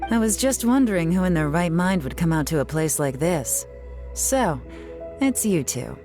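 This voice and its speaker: A woman's voice: on the low side, animated, close to an everyday voice. An actress playing a self-assured, teasing woman investigator in a video game, speaking in a composed, wry manner. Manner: composed, wry, measured storytelling. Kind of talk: video game character dialogue. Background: music.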